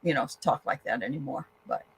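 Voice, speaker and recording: A woman's voice: extremely low pitch, a little fast, gravelly, husky, dry recording, rough recording. A woman from the Boston area with a slight Boston accent, hosting a live interview in a chatty, encouraging way. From Boston area, Massachusetts. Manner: chatty, encouraging, frequent affirmations. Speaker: host. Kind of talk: casual live-stream interview.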